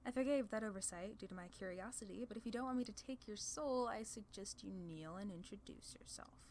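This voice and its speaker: A woman's voice: mid-range voice, brisk, darkish tone, a little hiss or hum, natural voice. Demon lord, played by a woman: female, mid-register, haughty, amused, condescending, theatrical ASMR roleplay with faint background ambience. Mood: imperious.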